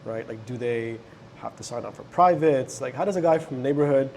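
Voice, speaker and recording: Gravelly-voiced man asking questions. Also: mid-range voice, fairly quick, darkish tone, slight background noise. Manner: curious, conversational questions. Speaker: interview host. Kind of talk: casual interview.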